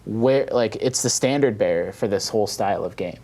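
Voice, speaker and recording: A man talking casually as a podcast panelist, thinking out loud and posing rhetorical questions. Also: on the low side, fairly quick. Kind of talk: casual panel discussion about video games.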